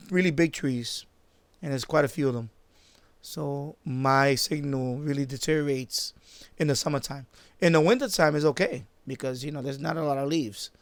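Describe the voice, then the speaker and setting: A man chatting off the cuff on a live stream, halting and repeating himself as he circles back to his points. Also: a little high, vocal fry, no echo. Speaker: live-stream host, radio hobbyist. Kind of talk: casual unscripted live-stream chat.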